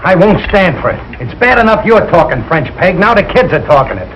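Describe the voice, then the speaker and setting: An actor playing a blustering, jealous working-class American husband in a 1940s radio sitcom, with folksy, exasperated grammar-bending talk. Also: male voice, high-pitched, big pitch swings, brisk, a bit bright. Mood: grumpy. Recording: dull, noisy old radio broadcast.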